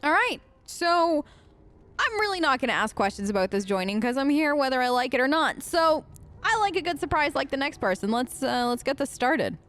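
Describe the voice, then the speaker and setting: High-voiced woman role-playing a game. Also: very animated, fairly quick, a bit bright. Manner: casual, chatty, exasperated. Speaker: let's play host. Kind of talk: role-play gaming commentary.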